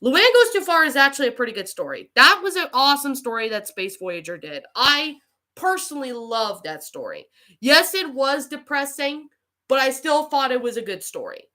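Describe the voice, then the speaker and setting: A woman with a cold, chatting casually as a livestream host and answering viewers in a halting way. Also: high voice, sing-song, sharp tone. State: getting over a cold with allergies. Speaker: livestream host and creepypasta narrator.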